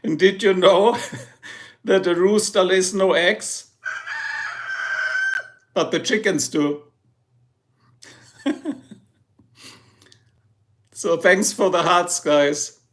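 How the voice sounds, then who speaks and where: Male streamer, German accent, high-pitched voice, jokey and rambling, laughs a lot. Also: steady tone, slow, long pauses, a bit bright, a little hiss or hum. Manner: joking, rambling, laughs often. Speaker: livestream host. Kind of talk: casual livestream chat with viewers.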